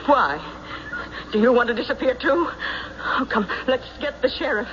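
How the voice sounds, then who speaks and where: An actress playing a distraught, defiant farm wife in an old radio drama, emphatic and quick to bristle. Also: female voice, high voice, a bit bright. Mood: frantic. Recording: muffled vintage radio recording, faint noise.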